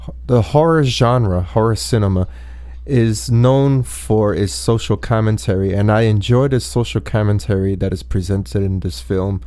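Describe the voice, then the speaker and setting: Male podcast host, lowish voice, dry close sound, formal and halting as he poses questions. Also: dark tone, dry recording. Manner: formal wording, halting delivery. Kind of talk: podcast interview.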